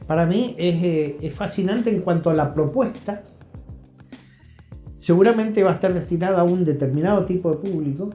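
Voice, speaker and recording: A man's voice: high voice, warm tone. A middle-aged Argentine man chatting reflectively as an interview guest, explaining things and checking in with short tag questions. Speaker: interview guest, health professional. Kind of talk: casual, reflective interview talk. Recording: roomy sound with music.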